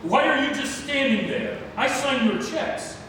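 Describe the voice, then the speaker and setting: A man's voice: very high-pitched, lively intonation, measured pace. A man playing an arrogant, tyrannical circus ringmaster with a pompous, bullying manner in a theatrical play read-through. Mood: outraged. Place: community theatre, large indoor room. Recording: noisy echoey hall, far from mic.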